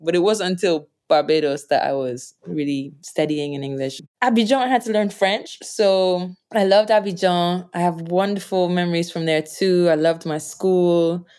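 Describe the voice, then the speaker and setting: Young woman, lowish voice, warm storyteller who laughs easily; interviewee. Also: moderate pace, hardly any pauses, clean tone. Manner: animated, laughs often, jumps up in pitch when quoting others. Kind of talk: reflective conversational storytelling.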